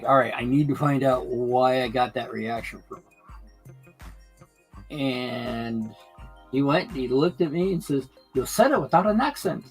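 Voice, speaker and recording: A man talks unhurriedly through a hobby live stream, his voice rough with gravel. He drifts between stories and the work in his hands, full of pauses and restarts. Soft music plays faintly behind him. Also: mid-range voice, rough recording. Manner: halting, many fillers and restarts, chats while working. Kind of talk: casual chat while building a model layout.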